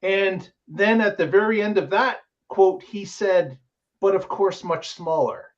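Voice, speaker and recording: A man's voice: high-pitched, a little slow, muffled recording. A man hosting a casual podcast, talking through the week's news with many filler words. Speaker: podcast host.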